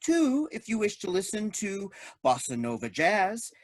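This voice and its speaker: A woman's voice: medium pitch, very animated, measured pace, dry recording. A woman playing an officious, blithely ominous AI robot assistant in a staged comedy reading, speaking in formal automated announcements. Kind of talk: staged reading of a comic play.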